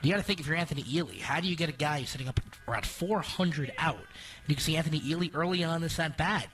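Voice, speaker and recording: Male color commentator for radio baseball, fairly high voice, conversational analysis, a little off the mic. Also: quick pace, fairly bright tone, recorded from a distance, soft background music. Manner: conversational, explanatory.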